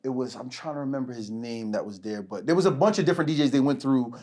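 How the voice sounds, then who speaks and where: A man hosting a podcast, telling stories in a rambling, animated way and often pausing to search for names. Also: medium pitch. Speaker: podcast host. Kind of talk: casual reminiscing chat.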